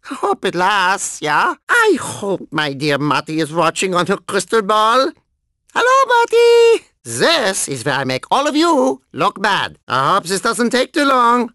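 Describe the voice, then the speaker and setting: Squawky, high-pitched deranged German cyborg. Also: male voice, no room sound, studio quality, full character voice. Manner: hammy, excitable, gleefully menacing, interjecting "yeah?". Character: deranged gleeful old German cyborg theme park owner. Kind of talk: video game character voice clips.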